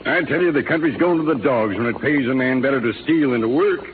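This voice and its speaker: An actor playing a hearty, indignant frontier judge in a 1930s radio drama, speaking in a folksy, emphatic way. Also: male voice, medium pitch, fast talker, lightly acted. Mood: indignant, insistent. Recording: noisy narrow-band 1930s radio recording.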